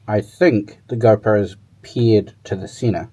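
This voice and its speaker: A man's voice: lowish voice, measured pace, mellow, lo-fi sound. A man talking informally and thinking aloud as he gives a casual hands-on demo and review of gear. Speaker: motorcycle tech reviewer.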